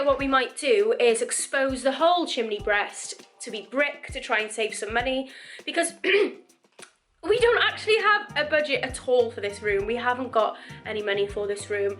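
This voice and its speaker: A woman vlogging casually about renovating her living room, chatty and rambling, her voice croaky from dust. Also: a little high, fairly bright tone. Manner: chatty, rambling, laughs often. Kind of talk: casual chatty vlog to camera.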